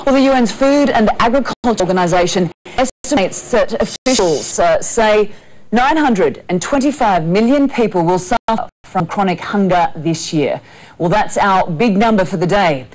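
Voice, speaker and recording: A woman in her thirties presenting live TV news, reading briskly from a script and then asking loose questions. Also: medium pitch, animated, darkish tone, rough recording. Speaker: news anchor. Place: TV news studio.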